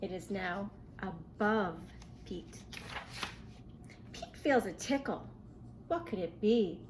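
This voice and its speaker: A woman reading a picture book aloud to young children in an expressive, questioning way. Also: medium pitch, slight background noise. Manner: expressive, asks the listener questions. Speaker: children's storybook reader.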